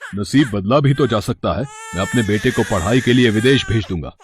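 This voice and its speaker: A man voicing a proud, rich merchant father in an animated story, speaking in a boastful, indignant way. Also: on the low side, fairly quick, background noise, lightly acted.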